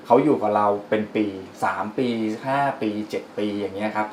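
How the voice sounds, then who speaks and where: A man's voice: lowish voice, rapid-fire, slight background noise. A man giving earnest, polite advice as an expert guest. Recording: roomy with faint music.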